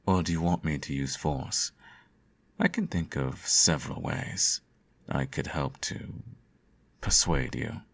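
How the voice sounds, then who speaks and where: Very deep gravelly man acting a doting supervillain. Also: slow pace, heavily acted. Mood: firm, soothing. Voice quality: gravelly, husky. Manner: suave, theatrical, coaxing, uses pet names. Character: teasing, doting male supervillain nursing his hero nemesis. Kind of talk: intimate ASMR roleplay acting.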